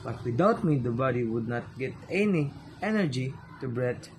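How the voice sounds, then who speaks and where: A man's voice: mid-range voice, expressive, normal speed, background noise, close to an everyday voice. A man playing an earnest digestive system testifying in amateur reader's theater, explaining formally. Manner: explanatory, formal courtroom address.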